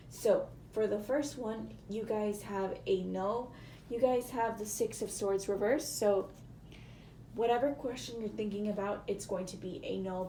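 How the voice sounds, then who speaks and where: A woman with a hoarse, cracking voice, giving a casual tarot reading to viewers and often apologizing. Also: medium pitch, warm tone, roomy sound, slight background noise, low-quality recording. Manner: chatty, apologetic, hedging with fillers. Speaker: tarot reader.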